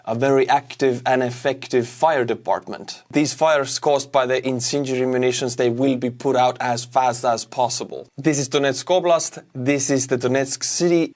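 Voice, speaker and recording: A male online host with an Estonian accent and a medium-pitched voice. He talks informally, as in casual news commentary, addressing the listener conversationally. His delivery carries a wry edge. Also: fairly even intonation, moderate pace, few pauses. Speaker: YouTube war-news host.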